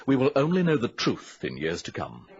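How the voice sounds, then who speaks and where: A man narrating an old radio adventure drama from a script in a grave, deliberate storytelling manner. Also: mid-range voice, huge pitch swings, vocal fry, darkish tone, slightly rough. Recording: dull vintage radio recording.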